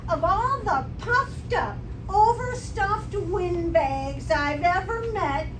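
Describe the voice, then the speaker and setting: A woman playing an exasperated, sharp-tongued schoolteacher in a live old-time radio comedy, with theatrical, emphatic delivery. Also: very high-pitched, expressive, takes it slowly, heavily acted. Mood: gleefully scornful. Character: exasperated, sharp-tongued female schoolteacher boldly insulting her boss. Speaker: amateur stage actor. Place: indoor community theater performance. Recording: echoey, noisy room, distant mic.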